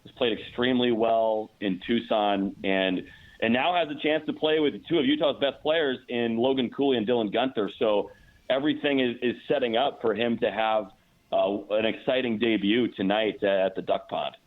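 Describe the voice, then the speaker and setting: A man's voice: medium pitch, steady tone, moderate pace. An American man giving analysis as a guest announcer in a sports radio interview, thinking aloud with repeated words. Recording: dull, narrow-band phone-like line.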